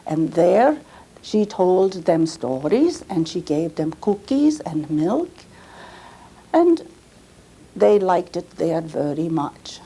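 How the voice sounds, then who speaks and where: Woman acting a slow, halting Swiss immigrant grandmother. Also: on the low side, sing-song, dark tone, breathy edge, exaggerated voice, rough recording. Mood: fond, reminiscing. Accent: Swiss German. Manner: halting, careful, simple immigrant English with self-corrections. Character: Swiss immigrant grandmother, earnest, reminiscing, apologetic about her English. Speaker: storyteller performing a reenactment. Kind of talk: dramatized first-person monologue.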